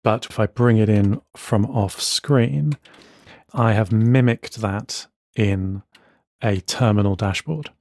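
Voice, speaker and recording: A man's voice: fairly low pitch, takes it slowly, a little mellow, no echo, studio quality. A man co-hosting a podcast, enthusiastically walking through his note-taking setup in casual tech chat. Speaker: podcast co-host.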